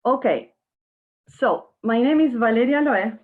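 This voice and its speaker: A woman's voice: fairly high pitch, expressive, measured pace. A woman from Argentina giving an earnest workshop presentation online, speaking directly to her audience. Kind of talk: webinar presentation. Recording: narrow phone-like online call audio.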